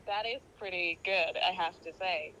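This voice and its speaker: A woman's voice: on the low side, normal speed. A woman speaking politely and a little hesitantly in a casual phone conversation as a receptionist. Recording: phone call with faint background noise.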